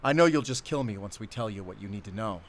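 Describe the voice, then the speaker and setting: An actor playing a bitter, defiant lone werewolf hunter, giving terse retorts in an audio drama. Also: male voice, on the low side, animated, quick pace. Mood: bitter defiance. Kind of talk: cinematic audio drama acting.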